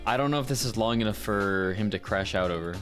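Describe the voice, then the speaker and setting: A man's voice: on the low side, quick pace, a bit bright, soft background music. A man chatting casually to viewers as a livestreaming voice actor. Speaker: streamer and voice actor.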